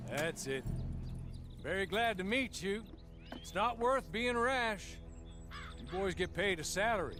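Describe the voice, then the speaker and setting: A man's voice: a little high, close to an everyday voice. Man playing a courteous, menacing gunman over music. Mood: calm threat. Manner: polite, measured, formal. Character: courteous, coolly menacing Old West hired gunman. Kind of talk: scripted western game acting. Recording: music under the voice.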